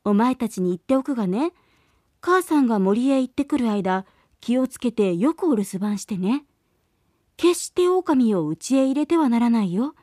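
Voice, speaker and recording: Brief: gentle storybook nanny goat, woman reader, admonishing, dry close mic. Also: on the high side, expressive, moderate pace, no echo. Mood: warning. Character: caring mother goat warning her kids. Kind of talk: storybook reading.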